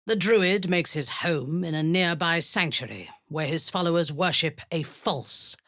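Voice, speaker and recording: An actress voicing a ruthless drow woman commander who leads zealous raids, speaking in a cold, commanding voice with a husky edge that often sinks into a whisper. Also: low-pitched, a little slow, a bit bright, no room sound, studio quality. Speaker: game character. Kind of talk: video game voice lines.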